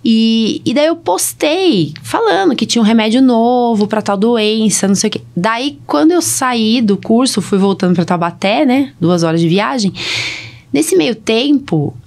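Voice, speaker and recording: A woman's voice: a little high, lively intonation, a little slow, a little mellow. A woman with a Brazilian accent from São Paulo state, hosting a casual podcast as a doctor, chatty and anecdotal, often checking for agreement. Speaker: podcast host, allergist doctor. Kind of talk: casual conversational podcast chat.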